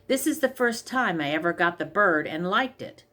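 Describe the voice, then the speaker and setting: A woman's voice: on the low side, animated, normal speed, bright, forceful tone. A woman voicing a dull, cheeky young man in a storybook fairy tale, blunt and wisecracking.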